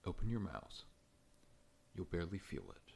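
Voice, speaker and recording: A man playing a large, friendly scorpion monster dentist in an ASMR roleplay, talking in a gentle, reassuring, professional way. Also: low voice, sing-song, normal speed, creaky, slight background noise, heavily acted. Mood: calm, professional.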